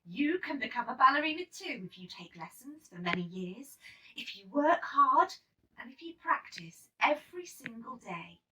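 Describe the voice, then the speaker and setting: A woman's voice: high-pitched, very animated, moderate pace, bright, forceful tone, voice pushed up, exaggerated voice. A woman voicing an encouraging storybook teacher, explaining things in an instructive, emphatic way. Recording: slightly distant microphone.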